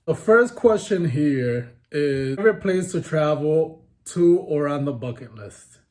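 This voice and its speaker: Young man, fairly high-pitched, casual teasing co-host. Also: lively intonation, unhurried, darkish tone. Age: young adult. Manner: teasing, reads questions aloud. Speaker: vlogger, co-host. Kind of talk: casual couple Q&A chat.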